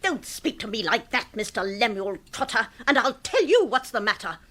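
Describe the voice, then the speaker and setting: An actress playing a domineering, indignant middle-aged wife, scolding and imperious, in a comic radio drama. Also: female voice, on the high side, big pitch swings, normal speed, a bit bright.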